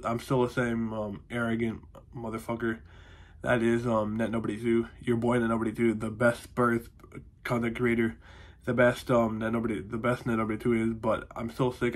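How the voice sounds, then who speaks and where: A young man in his twenties with a stuffy, congested voice, rambling casually to his viewers from a small room at home, full of fillers. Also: medium pitch. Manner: rambling, heavy on fillers and "honestly". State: congested, nasal from a cold. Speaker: vlogger. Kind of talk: casual vlog update. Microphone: phone or webcam close to the face.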